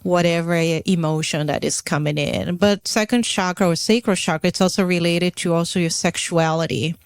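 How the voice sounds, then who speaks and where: Woman cohosting a podcast, reflective, lots of fillers, low-ish voice. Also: steady tone, brisk, clean tone, no room sound, studio quality. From Brazil. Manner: reflective, searching for words, many fillers. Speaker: podcast co-host. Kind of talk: casual spiritual chat.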